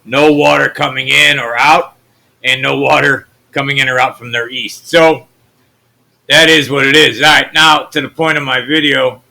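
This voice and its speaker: A man from the US Midwest with a naturally deep voice, hosting a channel and talking loosely through his screen, trailing off into mutters. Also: fairly even intonation, moderate pace, creaky, sharp tone, poor audio. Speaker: channel host. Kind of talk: rambling screen-share commentary.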